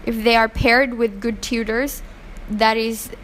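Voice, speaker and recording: A woman from Pakistan, raised in Hong Kong, shares her views in an interview. Her voice sits a little high, and she speaks earnestly with frequent fillers and self-corrections. A faint background hiss runs under the recording. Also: little pitch movement, a little slow, a bit bright, low-quality recording. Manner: earnest, opinionated, many fillers and restarts. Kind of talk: casual interview answers.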